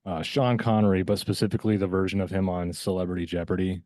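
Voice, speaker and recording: A man's voice: deep voice, flat delivery, fast, dark tone, no room sound, studio quality. A man chatting casually as a podcast guest. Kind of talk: casual chat.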